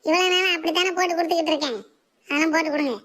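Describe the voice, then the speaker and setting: A woman voicing an assertive, sharp-tongued housewife and mother in a comic cartoon. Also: very high-pitched, steady tone, rapid-fire, a little hiss or hum. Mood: bossy. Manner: bossy, challenging, sarcastic.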